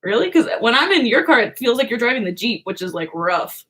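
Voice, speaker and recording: A woman's voice: a little high, very animated, brisk, bright, forceful tone. A young woman hosting a birthday livestream from home, chatting casually and giggling, with a lot of "like". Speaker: livestream host. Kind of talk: casual chat with viewers. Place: home, indoors.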